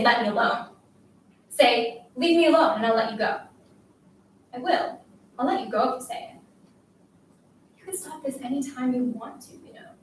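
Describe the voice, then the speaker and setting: A woman plays a bullying schoolgirl in a dramatic story, scolding and demanding with desperate, scornful force. The voice is high. It sounds distant from the mic in a very echoey room. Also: a bit bright, a little hiss or hum. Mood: taunting. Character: bullying schoolgirl, taunting, desperate, commanding a silent classmate. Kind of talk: dramatic literary reading.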